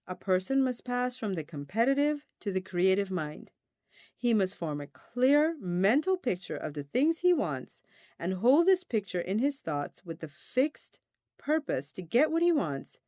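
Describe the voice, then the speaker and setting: A woman reading a self-help audiobook in a deliberate, emphatic, instructional manner. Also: medium pitch, mellow, dry recording, clean studio sound. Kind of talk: scripted audiobook narration of a self-help text.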